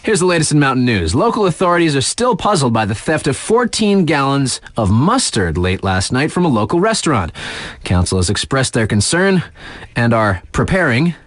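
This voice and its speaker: A man's voice: mid-range voice, big pitch swings, normal speed, no room sound, close to an everyday voice. A man playing a laid-back, wisecracking radio DJ in a video game, reading local bulletins in a casual, mock-serious way. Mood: breezy, mock-serious. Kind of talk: breezy radio news bulletin, ad-libbed asides.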